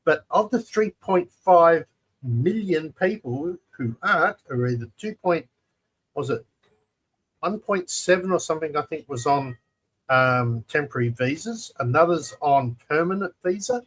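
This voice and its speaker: A man's voice: a little high, animated, measured pace, a bit bright, rough recording. A man co-hosting a live chat show, speaking emphatically and repeating key numbers to drive them home. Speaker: co-host. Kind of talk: casual chat.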